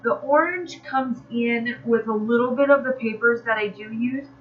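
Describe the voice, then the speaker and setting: A woman's voice: fairly high pitch, normal speed, highs cut off. A woman hosting a crafting channel, chatting casually in a voice-over while she walks through her work, a little nasal from allergies and rambling with fillers and restarts. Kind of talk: casual voice-over narrating a crafting process video.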